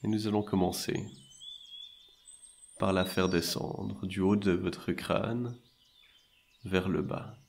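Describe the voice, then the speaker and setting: A man guiding a meditation in a soothing, measured way, leaving long pauses between short phrases. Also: sits low, flat delivery, vocal fry, slight background noise. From French-speaking Switzerland. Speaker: meditation and hypnosis guide. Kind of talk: guided meditation narration.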